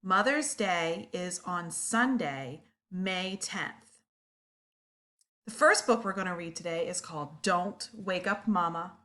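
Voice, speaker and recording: A woman storyteller reading a picture book aloud to young children, expressive and deliberate. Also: lowish voice.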